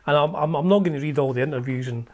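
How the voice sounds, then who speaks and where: A man's voice: on the high side, fast. A middle-aged man with a Scottish accent, hosting and chatting casually as he flips through an old magazine, rambling with frequent ums and restarts. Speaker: host.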